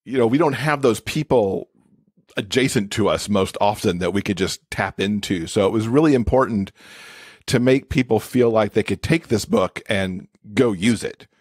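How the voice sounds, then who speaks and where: A man's voice: on the low side, moderate pace, a little mellow, slightly rough, dry recording, studio quality. A man being interviewed as an expert guest, explaining things conversationally with everyday examples. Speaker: podcast guest, accessibility expert and author.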